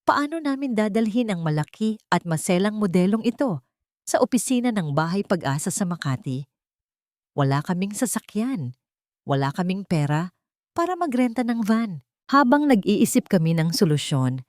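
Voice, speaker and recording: A woman's voice: mid-range voice, very animated, moderate pace, a little mellow, dry recording, studio quality. A woman with a Filipino accent narrating a scripted first-person story in a dramatic style. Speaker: audiobook narrator.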